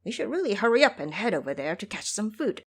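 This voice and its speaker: A woman's voice: mid-range voice, big pitch swings, fast, husky. A woman voicing a boastful, foolish pig-monster monk in an audio drama, eager and blustering.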